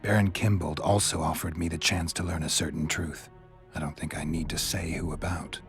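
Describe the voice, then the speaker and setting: A very low, gravel-voiced monster hunter from a fantasy game. He is terse, skeptical and dryly unhurried, with clear music playing behind him. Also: steady tone. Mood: dry skepticism. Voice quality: gravelly, very deep. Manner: laconic, dry, unhurried. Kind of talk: video game cutscene dialogue.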